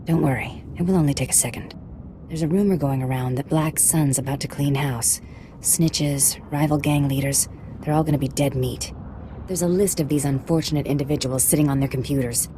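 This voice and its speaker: A woman's voice: low-pitched, fairly even intonation, a little fast, dark tone, a little hiss or hum, close to an everyday voice. An actress voicing a shrewd, secretive female agent in a sci-fi video game, cool, sly and confident.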